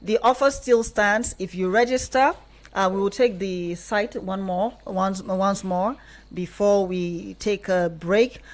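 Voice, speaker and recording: A woman's voice: medium pitch, slow pace. Nigerian woman presenting live radio. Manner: affirming interjections while guests talk. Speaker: radio host. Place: radio studio.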